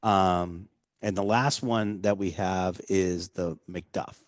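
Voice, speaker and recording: Man, very deep voice, dry close sound, chatty rambling host, jokey. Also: steady tone, slow pace, dry recording. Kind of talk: casual whiskey tasting chat.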